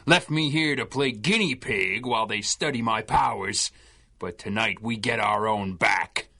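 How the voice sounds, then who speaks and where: A man's voice: lowish voice, unhurried, creaky, sharp tone, exaggerated voice. An actor playing a sneering, gloating supervillain henchman who talks in gangster slang, in a 1930s-style radio serial. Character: sneering male supervillain henchman with flame powers.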